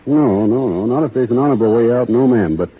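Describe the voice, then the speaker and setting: An actor playing a laconic young cowboy who talks in a slow, folksy rural drawl, in a 1930s radio drama. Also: male voice, medium pitch, expressive, natural voice. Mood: opinionated. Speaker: lead actor in a radio play. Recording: tinny 1930s radio broadcast with faint hiss.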